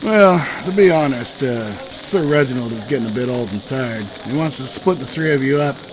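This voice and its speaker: A man's voice: medium pitch, animated, normal speed, music in the background, natural voice, rough recording. A man playing a casual, coaxing fantasy adventurer in an audio drama, talking in an easygoing, persuasive way. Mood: tactful. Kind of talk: audio drama character acting. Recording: crowd chatter behind the voice.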